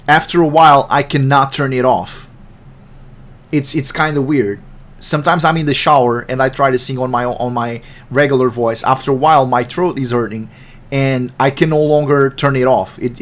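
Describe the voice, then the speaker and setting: Casual raspy guy hosting a chat, talks quick, trips over words. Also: medium pitch. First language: Portuguese. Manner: fast-talking, self-conscious, rambling. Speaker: podcast host and game developer. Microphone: computer microphone.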